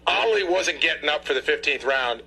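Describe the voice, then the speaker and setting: A man on the staff of a radio show, cutting into a casual debate to explain his point haltingly. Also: a little high, expressive, bright, forceful tone. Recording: clearly noisy, humming audio.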